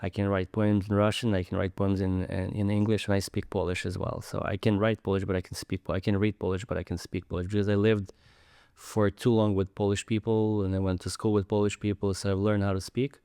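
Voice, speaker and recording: A man's voice: fairly low pitch, little pitch movement, a little fast, dark tone, clean tone, no echo, clean studio sound. A man, a podcast guest talking earnestly in casual conversation and often repeating words as he searches for them. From Ukraine. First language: Ukrainian.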